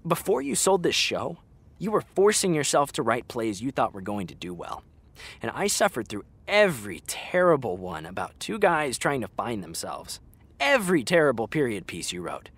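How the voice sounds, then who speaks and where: A frank young man in a naturalistic audio drama. His mid-pitched voice moves around freely, and he speaks in an earnest, conversational way, like a friend who has held his tongue too long. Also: sing-song, normal speed, fairly bright tone, slightly rough, no echo, full character voice. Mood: blunt, exasperated. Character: frank, exasperated young male actor confronting his best friend.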